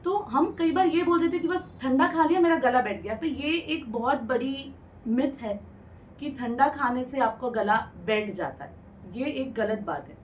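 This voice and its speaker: A woman physician with a fairly high voice speaks calmly and plainly, mixing English medical words into her speech. She sounds a bit distant from the microphone. A faint hiss sits behind her. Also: normal speed. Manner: explains patiently, mixes English medical terms into Hindi. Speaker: ENT doctor guest. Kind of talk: radio health interview, explaining plainly. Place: radio studio.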